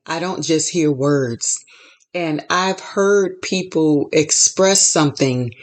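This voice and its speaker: A woman hosting her own podcast, speaking slowly and deliberately. She builds her points in repeated, rhythmic phrases, like a short sermon. Her tone stays steady and earnest. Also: sits low. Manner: measured, repetitive preaching cadence. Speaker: podcast host. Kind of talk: inspirational faith talk.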